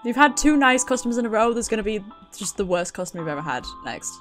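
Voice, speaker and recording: A British woman with a fairly high voice comments on a video game for a livestream. She talks in a chatty, casual way and is prone to giggly reactions. Faint game music runs behind her. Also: very animated, quick pace. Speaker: streamer.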